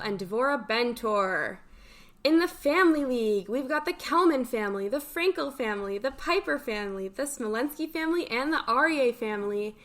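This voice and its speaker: Bright-voiced woman hosting a show. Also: on the high side. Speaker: show host. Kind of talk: upbeat morning show announcements and shout-outs.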